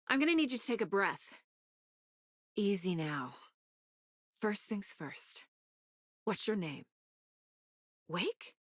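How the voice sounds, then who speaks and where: A woman's voice: fairly high pitch, fairly bright tone, no echo, clean studio sound, full character voice. An actress voicing a determined, intense woman FBI agent in dramatic video game dialogue. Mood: concerned, steady.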